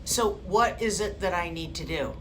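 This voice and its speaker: A woman's voice: lowish voice, expressive, moderate pace. A woman on a phone call, speaking tersely in short questions and answers. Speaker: person receiving a scam phone call. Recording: phone call with faint background noise.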